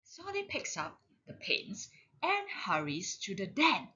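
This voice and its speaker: Very slow, animated woman reading a storybook. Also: medium pitch, lo-fi sound, a loud crowd. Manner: expressive, drawn-out, deliberate delivery for young children. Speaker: storyteller, parent reading aloud. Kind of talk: animated children's picture book narration.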